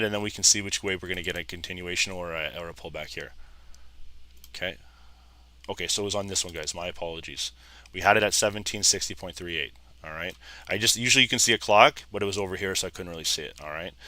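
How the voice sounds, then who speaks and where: Guy in his 30s, a bit hoarse, bright forceful voice, low pitch, dry close mic, chatting through charts. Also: fast talker, no room sound. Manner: casual, repeats "guys" and "like I said". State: slightly hoarse, losing his voice. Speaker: trading educator. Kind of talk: live trading screen commentary.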